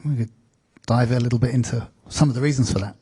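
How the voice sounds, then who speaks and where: A male moderator with a mellow, slightly husky voice asks panelists questions politely, in a measured way. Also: medium pitch.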